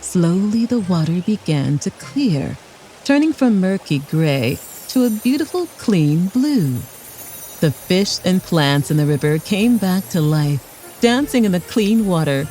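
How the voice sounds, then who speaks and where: A woman's voice: fairly low pitch, sing-song, a little slow, mellow, faint music behind, poor audio. A woman reading a scripted children's story aloud as narrator, expressively, for young children.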